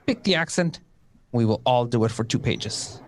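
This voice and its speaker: A man's voice: mid-range voice, expressive, normal speed, a little hiss or hum. A man hosting a live radio play show, chatting casually and explaining how things work.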